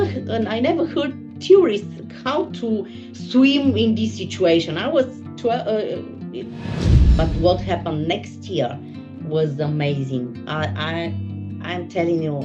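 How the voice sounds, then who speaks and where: Woman, Bulgarian accent, slow, halting, restarts often, dull lo-fi sound. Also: on the low side, lively intonation, over music. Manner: halting, searching for words, frequent restarts. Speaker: guest, holistic therapist. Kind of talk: personal storytelling in an interview.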